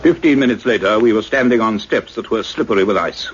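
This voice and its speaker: A man playing an earnest scientist doctor in a radio play, narrating his own adventure in a measured, suspenseful, literary way. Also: lowish voice, lightly acted. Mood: grave suspense. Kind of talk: dramatic first-person radio play narration. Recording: dull noisy radio play recording.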